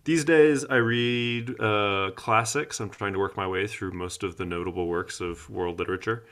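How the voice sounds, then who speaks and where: A man's voice: lowish voice, fairly even intonation, normal speed, fairly bright tone. A man of thirty chatting casually as the co-host of a podcast.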